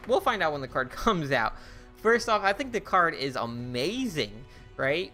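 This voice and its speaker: Chatty bright-voiced young man, music underneath. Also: mid-range voice. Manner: chatty, unsure, thinks aloud with many fillers and restarts. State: losing his voice. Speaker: card game reviewer. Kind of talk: casual game commentary.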